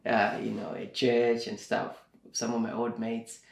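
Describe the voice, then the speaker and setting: A young man from Zimbabwe, a guest in a casual interview, speaking slowly and thoughtfully, with plenty of fillers. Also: mid-range voice, little pitch movement, creaky. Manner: slow and reflective, with many fillers. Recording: echoey room.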